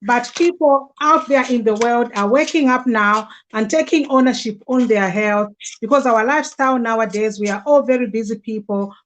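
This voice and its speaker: A woman's voice: fairly high pitch, rough recording. A woman hosting a conversational livestream, speaking in an earnest, effusive way as she promotes products. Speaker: host promoting health products.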